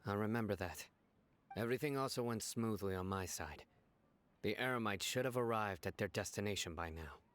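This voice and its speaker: A man's voice: fairly low pitch, fairly quick, fairly bright tone, natural voice. A man playing a composed, serious commander in a video game, formal and restrained.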